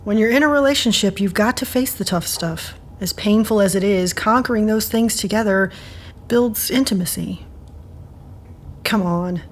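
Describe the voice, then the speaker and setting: A woman's voice: mid-range voice, moderate pace, a little hiss or hum, lightly acted. A woman playing a protective, wry older friend who gives frank advice in an audio drama. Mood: firm, reassuring. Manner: frank, advising. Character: older, protective, wry woman confidante and covert-ops rescuer.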